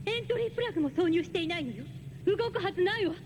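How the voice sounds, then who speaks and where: A woman's voice: very high-pitched, expressive, slow, heavily acted. An actress playing an intense, analytical adult woman scientist in an anime. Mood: stunned, tense. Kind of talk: anime voice acting. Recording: noisy old cassette copy.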